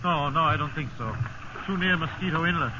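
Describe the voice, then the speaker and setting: An actor playing a steady, weary sea captain in a 1950s radio drama, commanding yet resigned. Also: male voice, on the high side, fairly quick, lightly acted. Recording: muffled old radio recording, loud sea noise. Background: loud water and boat noise.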